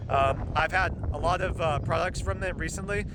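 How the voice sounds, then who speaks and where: Casual vlogger, male, somewhat high-pitched, loose and filler-heavy, recorded outdoors with heavy wind rumble on the mic. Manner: rambling, halting with frequent ums and uhs. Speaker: car parts reviewer. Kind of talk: casual product review vlog. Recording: loud wind noise on the mic. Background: gusting wind.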